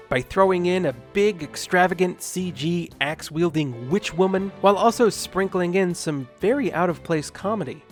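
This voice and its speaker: A man delivering scripted film review commentary with emphatic, sarcastic asides. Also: on the high side. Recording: music behind the voice. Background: background music.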